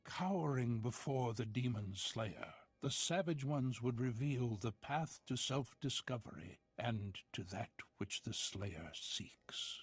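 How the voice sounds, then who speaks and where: A man voicing an ancient, half-mad soothsayer in a video game, slow, cryptic and portentous. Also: mid-range voice, expressive, husky, no echo. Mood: solemn. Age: older. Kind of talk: scripted video game acting.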